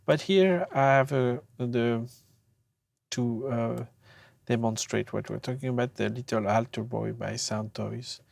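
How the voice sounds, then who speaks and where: A tutor giving an informal software demonstration, speaking in a hesitant, halting way with frequent pauses. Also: mid-range voice, vocal fry, dry recording. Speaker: audio mixing tutor.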